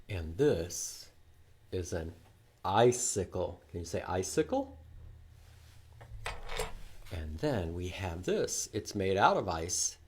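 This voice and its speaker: A man speaks slowly to young children as a storytime presenter, his pitch swinging widely with exaggerated, sing-song emphasis. He asks questions and repeats key words. There is some noise in the recording. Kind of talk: interactive read-aloud for young children.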